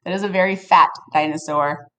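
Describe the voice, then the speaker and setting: A woman reading a picture book aloud to children in an expressive, playful way, with casual asides to her listeners. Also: sits low, brisk. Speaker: storybook reader for children.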